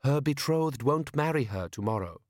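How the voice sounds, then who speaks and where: A man voicing a friendly young woman in a gentle, polite, careful way, as in an audiobook. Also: mid-range voice, expressive, normal speed, no room sound, studio quality, pitched above the performer's own voice, lightly acted. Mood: concerned.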